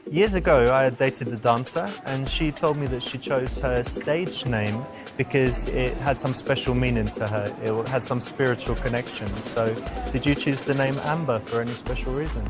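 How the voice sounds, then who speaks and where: Male presenter, conversational, explanatory coaching delivery, music clearly behind. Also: mid-range voice, normal speed. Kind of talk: casual instructional commentary and acted conversation.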